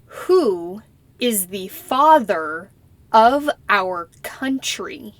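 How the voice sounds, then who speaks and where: A young woman playing a polite citizenship applicant who answers slowly and carefully in a scripted practice interview. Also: mid-range voice, expressive, no room sound. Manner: slow, careful, deliberate answers. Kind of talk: scripted role-play interview.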